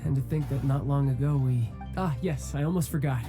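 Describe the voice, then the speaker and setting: A man voicing a suave young warrior and devoted older brother in an anime video game, speaking smoothly and courteously. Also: medium pitch, fairly quick, natural voice. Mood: wistful, grateful. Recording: game audio with music behind.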